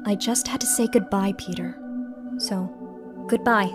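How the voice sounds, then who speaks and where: A woman's voice: medium pitch, measured pace, over music, close to an everyday voice. A young woman playing a wistful, ghostly former sweetheart in an amateur comic dub.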